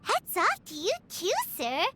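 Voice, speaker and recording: An actress playing a small childlike companion creature in a video game, perky, blunt and casual. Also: female voice, extremely high pitch, huge pitch swings, unhurried, heavy background noise, full character voice. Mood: impressed. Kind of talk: scripted video game character dialogue. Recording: game music loud behind the voice.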